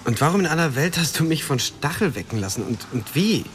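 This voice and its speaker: An actor playing a young, reluctant hero and baffled son in a fantasy radio drama, questioning in an incredulous manner. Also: male voice, on the high side, sing-song, brisk, fairly bright tone. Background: background music.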